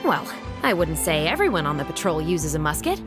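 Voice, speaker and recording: A woman's voice: low voice, big pitch swings, brisk. A woman voicing a weary, practical female patrol captain in a game, dry and matter-of-fact, over game music. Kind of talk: video game voice acting.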